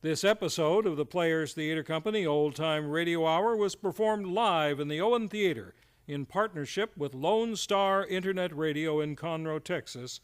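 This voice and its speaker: Guy with a highish voice doing formal old timey radio announcing, polished, reading from a script. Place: live on a theater stage.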